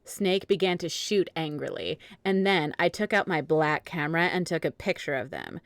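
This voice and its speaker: A woman with a low voice narrates a fan fiction story. She reads the absurd text straight-faced, in a dry, close sound. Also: expressive, normal speed, no echo. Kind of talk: dramatic reading of fan fiction.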